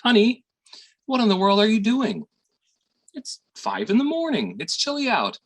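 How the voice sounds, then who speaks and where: A man acts out a devoted Southern father in a stage drama. His voice is slightly raspy and moves widely up and down. He talks tenderly and coaxingly, like a parent soothing a grown child. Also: high voice, very animated, normal speed, full character voice. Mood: surprised, concerned. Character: gentle, devoted Southern father, small-town doctor. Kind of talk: stage play dialogue, audio performance.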